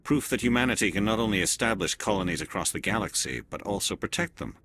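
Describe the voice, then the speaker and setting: An actor playing a cool, probing alien male Spectre agent in a video game cutscene, speaking in a measured, insinuating way. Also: lowish voice, lively intonation, fairly bright tone, a little hiss or hum. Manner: measured, insinuating, slow deliberate phrasing.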